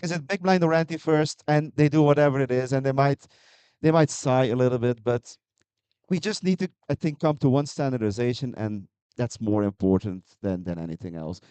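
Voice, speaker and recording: A man's voice: medium pitch, normal speed, darkish tone, clean tone, no room sound. A man, a poker player speaking on a panel, talking hesitantly with many fillers and restarts. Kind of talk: panel discussion.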